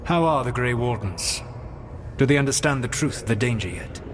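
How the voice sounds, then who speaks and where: An actor playing a grave, calculating ancient elven god who speaks formally and with measured solemnity. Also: male voice, mid-range voice, animated, noisy background, close to an everyday voice. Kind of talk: fantasy game dramatic dialogue.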